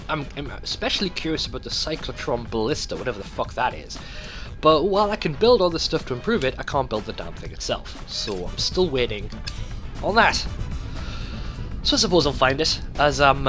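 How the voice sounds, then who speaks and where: Animated, sweary male gamer over music. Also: mid-range voice. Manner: sweary, rambling, talks to himself. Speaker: let's play host. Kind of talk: casual video game commentary. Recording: music behind the voice. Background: game music.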